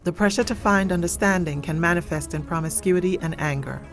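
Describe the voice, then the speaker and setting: A woman's voice: fairly low pitch, fairly quick, faint music behind. A woman narrator delivering scripted dramatic narration.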